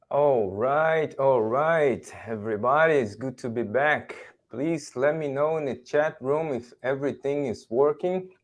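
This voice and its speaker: A man's voice: on the high side, darkish tone. A man hosting a livestreamed podcast interview, speaking conversationally to his audience and guest. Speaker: podcast host.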